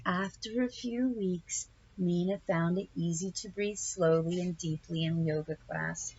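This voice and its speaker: Unhurried woman reading a children's picture book. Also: fairly low pitch, lo-fi sound, slight background noise. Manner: deliberate, animated storytelling with asides to young listeners. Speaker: storybook reader. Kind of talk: expressive children's picture-book read-aloud.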